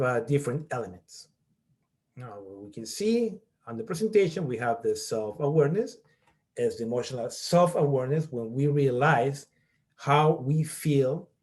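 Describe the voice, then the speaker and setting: A man's voice: medium pitch, darkish tone. Earnest Peruvian-born man teaching online. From Peru. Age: middle-aged. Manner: earnest, explaining step by step with frequent "okay?". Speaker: college professor leading a workshop. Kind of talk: online workshop presentation.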